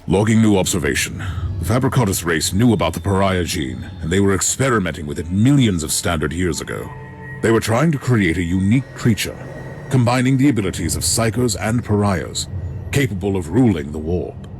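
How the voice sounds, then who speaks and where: A man playing a resolute, commanding inquisitor in a video game, measured and dryly confident. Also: low-pitched, lively intonation, faint music behind. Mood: grave, resolute. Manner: measured, authoritative. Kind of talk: video game cutscene acting.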